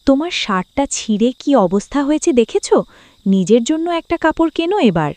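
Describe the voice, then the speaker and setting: A woman's voice: high-pitched, very animated, moderate pace, darkish tone, no room sound. A woman playing a practical, caring village wife and mother in a cartoon, chiding yet consoling. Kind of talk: cartoon dialogue and story narration.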